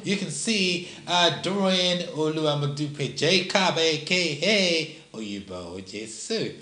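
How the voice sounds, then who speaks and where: A man's voice: fairly high pitch, animated, slow, bright, forceful tone. A man with a Nigerian accent hosting an interview show, with an emphatic, repetitive showman delivery. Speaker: TV show host. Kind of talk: live interview presenting. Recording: echoey room.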